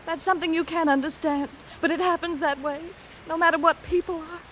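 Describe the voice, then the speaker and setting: An actress playing a desperate, impassioned femme fatale in a 1940s radio melodrama, with an emotional, dramatic delivery. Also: female voice, very high-pitched, steady tone, brisk, fairly bright tone. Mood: impassioned, upset. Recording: hissy, noisy vintage radio recording.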